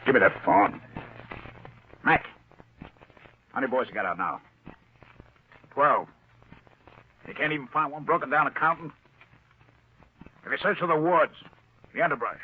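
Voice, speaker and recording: An actor playing a tough, domineering gangster boss who talks curtly in gangster slang in an old-time radio crime drama. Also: male voice, on the high side, vocal fry, breathy edge, exaggerated voice. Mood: impatient, angry. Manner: curt, barking orders in gangster slang. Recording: dull, band-limited old radio recording.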